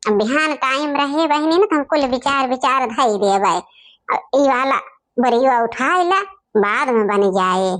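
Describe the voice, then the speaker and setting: A woman's voice: sits high, lively intonation, a little slow, fairly bright tone. A woman voicing bickering village sisters-in-law in an Awadhi family comedy cartoon, with an Awadhi accent and a complaining singsong manner. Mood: busy, practical.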